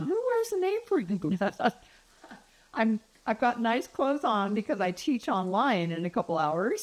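A woman in her sixties chatting casually to a phone camera in her home kitchen, friendly and rambling with fillers and little laughs. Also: mid-range voice. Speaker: vlogger. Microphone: phone camera at arm's length.

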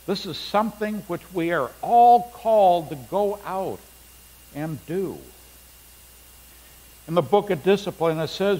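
Slow-preaching middle-aged man in a reverberant church. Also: sits high, darkish tone. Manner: unhurried preaching, reading scripture aloud with rising emphasis. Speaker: pastor. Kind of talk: sermon. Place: large church sanctuary. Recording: long church reverb, faint hum.

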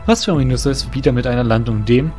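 A man's voice: mid-range voice, quick pace, a little mellow. A man narrating a scripted fantasy story in formal, literary phrasing. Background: music.

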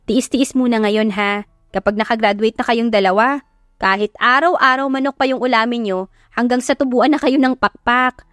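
A woman voicing a feisty young eldest sister in an audio drama, sassy, grumbling and expressive. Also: on the high side, no room sound, studio quality, natural voice. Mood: caring, firm.

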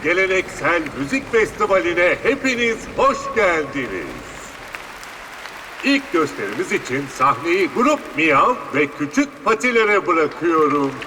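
A man's voice: a little high, big pitch swings, a little slow. A man voicing a hearty, avuncular festival presenter in a cartoon, with a showy manner. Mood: showy announcing. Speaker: festival announcer. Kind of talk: animated cartoon dubbing. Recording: reverberant festival venue, noisy crowd.

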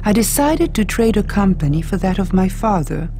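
An earnest young island woman as a female narrator plays her confides in an intimate letter. It is an audiobook reading at a middling pitch, with prominent music underneath. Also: lively intonation, moderate pace, close to an everyday voice. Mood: reflective, fond.

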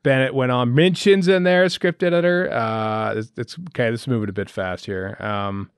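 A man's voice: mid-range voice, moderate pace, clear, resonant tone, no echo, clean studio sound. A man with a Canadian accent co-hosting a podcast in casual, chatty commentary. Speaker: podcast co-host. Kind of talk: casual fan commentary chat.

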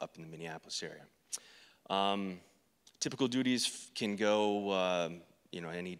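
A man's voice: low voice, fairly bright tone. American male agent, informal presenter, hesitant delivery, little intonation, echoing auditorium. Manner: halting, hesitant. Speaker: drug enforcement agent, panel speaker. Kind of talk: informal career presentation to an audience. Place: stage of an auditorium. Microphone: handheld microphone. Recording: reverberant hall sound.

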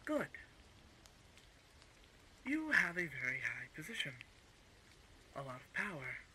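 An actor playing a smug, menacing male crime boss in an audio roleplay, speaking in a mocking, condescending and deliberate way. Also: a little high, big pitch swings, very noisy. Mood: coolly menacing. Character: smug, menacing male crime-organization villain, coolly coercive. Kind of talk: scripted audio roleplay villain acting.

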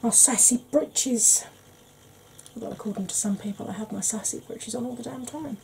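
A soft-spoken woman in her forties with a Welsh-tinged northern English accent chats slowly through a makeup tutorial. Her voice is very dark and mellow, with a wry edge. A faint hum sits behind her in a small room at home. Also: fairly high pitch, gravelly. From Wales and Yorkshire. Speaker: makeup vlogger.

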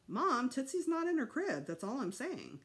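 A woman's voice: high-pitched, huge pitch swings, normal speed, pitched above the performer's own voice, full character voice. Woman voicing an earnest schoolboy older brother. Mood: insistent. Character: sensible older brother, a schoolboy taking charge. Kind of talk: children's audiobook character voice.

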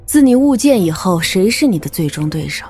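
An actress playing a composed, probing martial arts elder in an audio drama, speaking gravely and with measure. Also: female voice, mid-range voice, very animated, fairly quick, darkish tone, faint music behind.

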